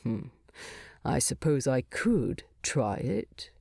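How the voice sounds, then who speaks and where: A woman voicing a haughty, disdainful male dwarf leader in a storybook, with a gruff, curt, imperious manner. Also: extremely low pitch, very animated, takes it slowly, dark tone, no room sound, pitched below the performer's own voice, exaggerated voice. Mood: grudging.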